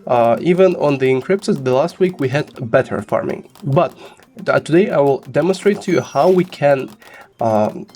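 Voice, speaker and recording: A man's voice: mid-range voice, a little mellow. Man with a sick voice explaining game strategy. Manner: instructive, many "uh" fillers. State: sick, slightly rough voice. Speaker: gaming guide host.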